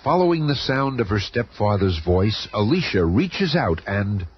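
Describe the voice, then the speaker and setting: A man's voice: on the low side, sing-song, unhurried. A man narrating a radio drama in a dramatic, scripted style. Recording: noisy, dull old radio broadcast.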